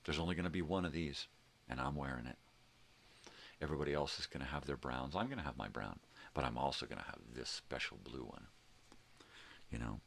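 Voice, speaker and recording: An older man with a very low voice full of fry and gravel. He chats casually to a livestream audience, rambling and thinking out loud in a folksy, homespun way. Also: a little fast, long pauses, a little hiss or hum. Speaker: host of a daily livestream show.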